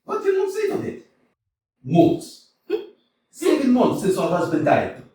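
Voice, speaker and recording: A furious, blustering landowner, played by a man in a stage reading, lurching between muttering and bellowing. His voice sits high and swings wildly. He sounds far from the mic in a very echoey theater with a faint hiss. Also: wildly swooping pitch, unhurried, heavily acted. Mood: ranting. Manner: ranting, exclamatory, swinging between mutters and shouts. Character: irate middle-aged male landowner chasing a debt, blustering. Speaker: actor in a community staged reading. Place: small community theater stage.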